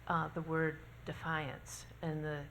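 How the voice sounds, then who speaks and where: A woman's voice: sits low, monotone, slow pace, a bit bright, slight background noise, rough recording. A woman in the audience builds up a question in a searching, hesitant way. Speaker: audience member.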